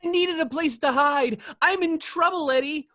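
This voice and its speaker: A woman's voice: high voice, normal speed, dry recording, clean studio sound. A woman voicing a frantic, pleading, naive male cartoon rabbit in an amateur table read of a film script. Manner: pleading, excitable.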